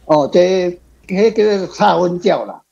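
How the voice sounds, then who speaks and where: Taiwanese guy rambling explanations, gravelly and kinda dark voice, dull recording, music low behind. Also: measured pace. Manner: repetitive, rambling. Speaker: panel guest, author responding. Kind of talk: casual explanatory chat.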